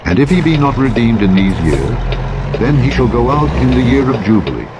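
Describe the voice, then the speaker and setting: A man reading scripture as a narrator, in a solemn, measured, declamatory and formal way. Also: mid-range voice, big pitch swings. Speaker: Bible narrator. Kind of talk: solemn scripture reading from the King James Bible. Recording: loud vehicle engine noise over audiobook playback. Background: truck simulator engine and road noise.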